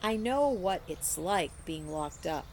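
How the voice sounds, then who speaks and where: Woman reading as a shy, slow-spoken gentle man. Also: fairly low pitch, animated, a little hiss or hum. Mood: shy, subdued. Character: shy, sad, gentle man, pet shop worker.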